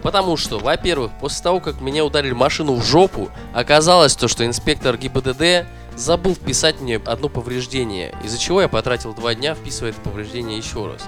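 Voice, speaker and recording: Male podcast co-host, animated, profane storyteller, faint music underneath. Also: mid-range voice, fast talker, hardly any pauses. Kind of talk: casual chat, storytelling.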